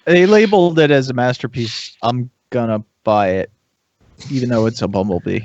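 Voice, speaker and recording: A man's voice: mid-range voice, normal speed, a little mellow. A man chatting casually as a podcast panelist, loosely phrased and tentative, on a dry, slightly dull recording. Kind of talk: casual hobbyist chat.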